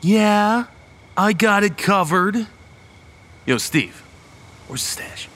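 A man's voice: sits high, animated, slow pace, a bit bright, exaggerated voice. A young man playing a cocky, goofy college student in an audio horror drama, flippant and casual. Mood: casual, impatient. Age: young adult. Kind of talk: radio horror drama acting.

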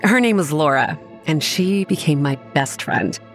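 An actress playing a self-assured young woman who manages a campground, narrating a horror audio drama in a measured, matter-of-fact way. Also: low-pitched, expressive, faint music behind. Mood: measured, reminiscing. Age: young adult. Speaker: narrator and lead character. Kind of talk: scripted first-person horror drama narration.

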